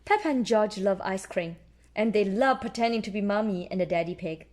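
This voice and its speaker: A woman narrating a children's storybook aloud as a storyteller, in an animated read-aloud style. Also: medium pitch, a little fast.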